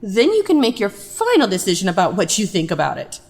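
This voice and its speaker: A woman's voice: mid-range voice, wildly swooping pitch, a little fast, a little mellow. A woman playing an encouraging, focused drama director in audio drama dialogue. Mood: encouraging, brisk.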